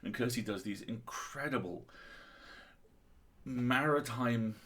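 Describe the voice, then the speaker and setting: A man's voice: medium pitch, takes it slowly, fairly bright tone, slight background noise. A man co-hosting a casual literary discussion, speaking in an enthusiastic, articulate and opinionated way. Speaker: co-host of a story reading livestream.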